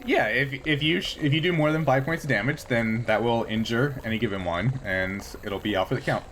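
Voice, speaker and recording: A man improvising narration as a tabletop game master, piecing descriptions together haltingly. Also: mid-range voice, a bit bright, slight background noise, poor audio.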